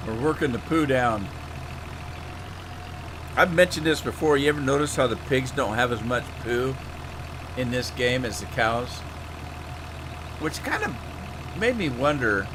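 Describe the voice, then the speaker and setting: A man's voice: medium pitch, lively intonation, measured pace, long pauses. A man chats through a live stream of a farming game in a rambling, homespun manner, often stopping and restarting his thoughts. His voice is a little worn, as if he is losing it. A faint noise sits under the desk-mic recording. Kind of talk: casual live-stream chat while playing.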